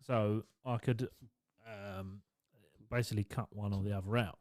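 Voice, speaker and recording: A man's voice: fairly low pitch, steady tone, normal speed, a little mellow, dry recording, studio quality. Sweary man telling a story. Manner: sweary, storytelling, laughs a lot. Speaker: podcast co-host. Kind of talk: casual chat. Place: home garage. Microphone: studio podcast mic.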